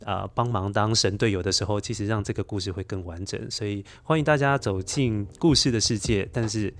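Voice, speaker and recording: A man's voice: lowish voice, brisk. A man with a Taiwanese Mandarin accent, a children's storyteller, explaining reflectively as a podcast guest and repeating words for emphasis. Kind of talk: conversational interview, reflective explaining.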